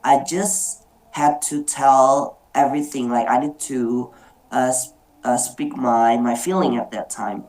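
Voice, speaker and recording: Very low-voiced woman moderating slowly. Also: steady tone, darkish tone, low-quality recording. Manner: hesitant, many fillers and restarts. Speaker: meeting moderator. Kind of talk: online group discussion, personal storytelling. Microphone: video call.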